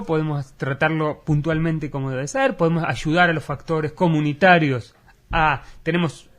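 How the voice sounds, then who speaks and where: Argentine man, educator, radio interview, earnest, slightly high voice, filler-heavy. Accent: Argentine Spanish. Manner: earnest, measured, many fillers.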